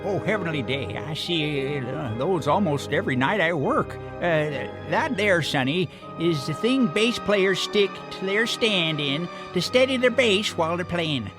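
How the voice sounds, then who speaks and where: A man's voice: a little high, animated, unhurried, hardly any pauses, music in the background. A man voicing a wrinkled old mole janitor in a comic audio drama, with a chuckling, folksy old-timer way of talking. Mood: excited, chatty. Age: older.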